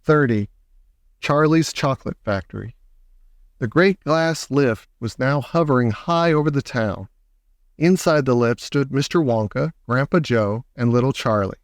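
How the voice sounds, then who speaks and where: A man's voice: mid-range voice, unhurried, no room sound, clean studio sound. A man narrating a children's storybook aloud as an audiobook reader.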